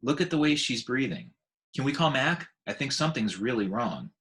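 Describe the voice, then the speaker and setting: A man's voice: mid-range voice, big pitch swings, normal speed, a bit bright, pitched above the performer's own voice. A man voicing a caring young schoolgirl with an anxious, pleading manner in a storybook reading. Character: young schoolgirl, caring, worried about an animal friend.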